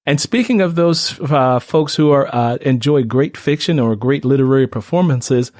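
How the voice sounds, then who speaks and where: A man's voice: medium pitch, lively intonation, normal speed, darkish tone, no room sound, clean studio sound. A man hosting a radio-style interview show in a conversational way.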